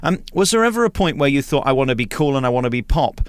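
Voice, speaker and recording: Male radio presenter, blunt and opinionated, animated delivery, dry booth. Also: mid-range voice, fast talker, clean tone, dry recording. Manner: blunt, opinionated, lively questions. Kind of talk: radio interview.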